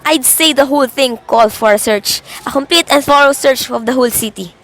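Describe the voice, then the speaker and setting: Young woman acting a high-pitched, fretful, indignant invalid wife. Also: a little fast, fairly bright tone. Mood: urgent, demanding. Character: anxious, demanding, self-important invalid wife, easily exasperated. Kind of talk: suspense radio drama acting.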